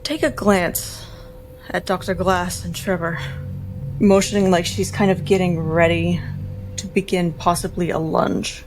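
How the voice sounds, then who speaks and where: Lowish-voiced woman player over faint music. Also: level tone, unhurried, rough recording. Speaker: tabletop game player. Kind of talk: casual third-person description of her character.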